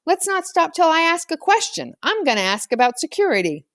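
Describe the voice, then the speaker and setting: A woman's voice: very high voice, very animated, brisk, a bit bright, no room sound, clean studio sound, voice pushed up, full character voice. A woman voicing a small, stubborn, earnest little boy who speaks simply and insistently, in a children's storybook reading. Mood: determined. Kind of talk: children's novel read aloud.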